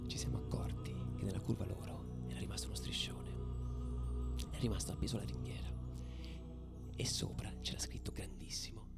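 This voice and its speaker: An actor playing a fervid football ultra who recounts stadium riots in a theatrical radio monologue. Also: male voice, fairly low pitch, fairly even intonation, a little fast, gravelly, pauses often, in a whisper. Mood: tense, subdued. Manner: theatrical, swinging between hushed murmur and loud declaiming. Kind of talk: dramatic radio theatre monologue over music. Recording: loud musical backing under the voice. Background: music.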